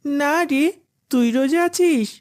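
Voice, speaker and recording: A woman's voice: high-pitched, takes it slowly, darkish tone. A woman voicing an eager, stubborn young schoolboy in a cartoon, with an insistent, childlike manner. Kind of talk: cartoon dialogue.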